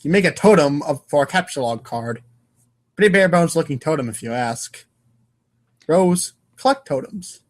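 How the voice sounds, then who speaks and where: A man's voice: a little high, animated, normal speed. A man narrating an informal comic read-aloud show in a playful, theatrical manner. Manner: playful, theatrical, voices sound effects.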